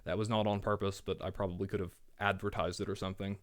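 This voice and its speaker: A man's voice: deep voice, level tone, quick pace. A man narrating a scripted game review with wry, sarcastic asides, his voice worn from a cold. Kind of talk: scripted video essay narration.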